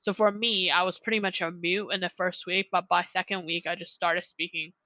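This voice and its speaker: A woman's voice: lowish voice, steady tone, a little fast, sharp tone, no echo, rough recording. A young woman chatting casually on a podcast as a guest, rambling and filling her talk with "like". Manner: rambling, heavy use of "like". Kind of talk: casual chat.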